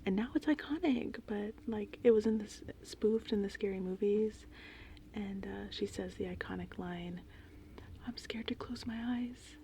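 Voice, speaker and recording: Whispering woman with soft creaky voice. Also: medium pitch, moderate pace, slight background noise. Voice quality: breathy whispered and soft-spoken voice. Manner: casual, meandering, chatty. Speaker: ASMR host. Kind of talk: ASMR rambling movie summary. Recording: steady rain and thunder ambience behind. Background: gentle rain and thunderstorm sounds.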